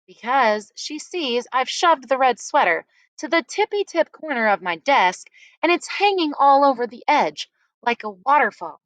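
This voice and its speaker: A woman narrates a first-person story as a read-aloud. Her voice sits a little high and swings widely in pitch, with deliberate, dramatic phrasing. The sound is dry and close. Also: lively intonation, fairly bright tone, no room sound, poor audio.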